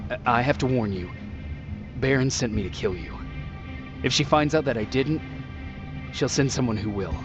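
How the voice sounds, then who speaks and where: An actor playing a terse, earnest resistance soldier in a video game. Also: male voice, medium pitch, normal speed, long pauses, dull audio, music in the background, lightly acted. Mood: grave. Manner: terse, questioning. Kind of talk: video game cutscene acting.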